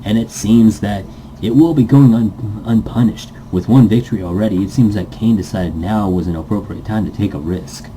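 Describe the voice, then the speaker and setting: A man voicing an excitable, pompous male esports commentator from a visual novel, speaking in an emphatic, declamatory way. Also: fairly low pitch, moderate pace, a little hiss or hum, close to an everyday voice, rough recording. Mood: excited, ominous.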